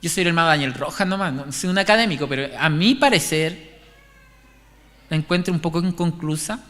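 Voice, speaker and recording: A man with a Chilean accent giving an informal, conversational sermon, haltingly repeating and restarting his phrases. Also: on the high side, a bit bright. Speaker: preacher. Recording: echoey hall, long reverb.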